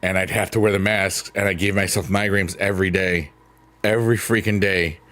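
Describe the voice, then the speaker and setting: A man with a booming voice on a late-night stream, chatting in a rambling, thankful way and answering his chat. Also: fairly even intonation, brisk. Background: soft piano music.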